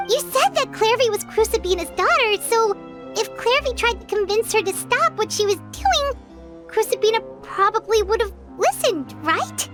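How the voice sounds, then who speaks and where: Tiny childlike sprite, extremely high squeaky voice, swooping intonation, earnest, inquisitive, game cartoon style, music behind. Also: female voice, expressive, normal speed. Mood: curious, concerned. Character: small childlike companion sprite, curious and easily worried. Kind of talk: scripted cartoonish game dialogue.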